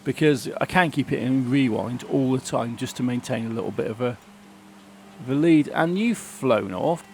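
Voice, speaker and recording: A man's voice: medium pitch, moderate pace, a little mellow, a little hiss or hum. A man with a sore throat, chatting casually as he plays and comments on a racing game. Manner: chatty, grumbling about the game. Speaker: gaming channel host.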